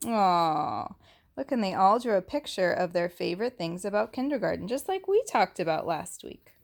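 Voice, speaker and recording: A woman reading a picture book aloud to young children in an animated teacherly way, pausing to ask questions and chat about the story. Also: medium pitch, vocal fry. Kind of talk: expressive read-aloud storytelling with asides and questions.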